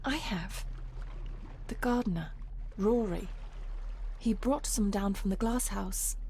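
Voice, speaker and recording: A woman's voice: mid-range voice, slow, dark tone, slight background noise. An actress playing a cool, sceptical, science-minded lady in a 1920s video game drama, dry, precise and faintly sardonic. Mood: matter-of-fact. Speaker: character actor in a video game. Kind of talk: dramatic game cutscene dialogue.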